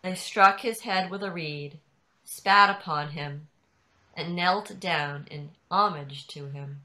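A woman reading scripture aloud as a dramatic narrator, measured and deliberate, at home on a video call. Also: on the low side, sharp tone, poor audio.

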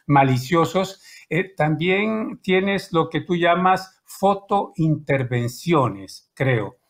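An older man with a slightly high voice, interviewing a guest over a video call. He speaks in a measured way, pausing on fillers as he frames his questions. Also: expressive, creaky. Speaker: radio interviewer. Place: indoor room with bookshelves.

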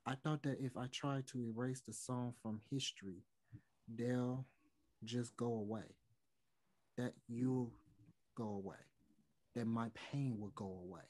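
A man's voice: mid-range voice, fairly even intonation, measured pace, long pauses, darkish tone, natural voice. A young man playing a regretful, closeted touring musician, earnest and hesitant as he pleads to reconcile in a play read over video call. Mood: apologetic, confessional. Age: young adult. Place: small room at home, indoors.

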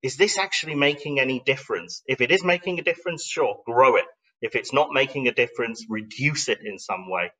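A man's voice: mid-range voice, a little fast, pushed and bright, far from the mic. A middle-aged man giving a live online slide talk in an explanatory, conversational way, thoughtful and emphatic, often posing questions. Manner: reflective, emphatic phrasing with rhetorical questions. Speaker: speaker presenting an online talk.

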